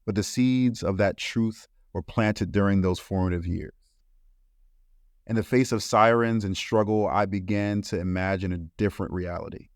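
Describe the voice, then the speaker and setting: A man from Brooklyn, a podcast co-host reading a solemn, deliberate reflection. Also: fairly low pitch, no room sound, studio quality. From Brooklyn, New York. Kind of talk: scripted reflective monologue.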